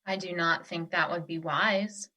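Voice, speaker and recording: A woman playing a wise, nurturing earth spirit in an audio drama, speaking in a formal, measured, reassuring way. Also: fairly low pitch, steady tone, pushed and bright. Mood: gently reproving. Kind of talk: theatrical audio drama acting.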